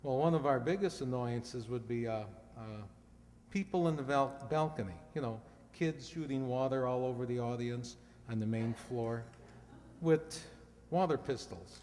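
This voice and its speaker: A man's voice: mid-range voice, normal speed, a little mellow, slight background noise, lightly acted. Man playing an earnest young quiz contestant. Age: 20s. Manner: deferential, literal-minded straight man. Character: earnest, polite young male quiz contestant, a theater usher. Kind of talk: old-time radio comedy acting. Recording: reverberant hall recording.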